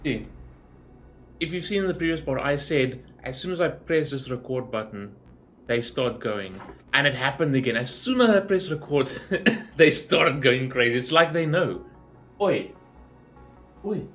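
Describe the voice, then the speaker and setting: A man's voice: mid-range voice, animated, measured pace, pauses often, a bit bright, soft background music. A man giving casual, rambling game reaction commentary in an informal way, as a gaming YouTuber.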